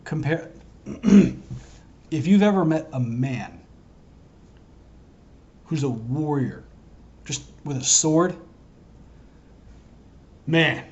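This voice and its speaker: A hoarse man talking to camera in a wandering, self-interrupting, earnest monologue as a self-styled prophet. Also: on the high side, lively intonation, very slow, long pauses, lo-fi sound. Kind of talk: rambling solo monologue to camera.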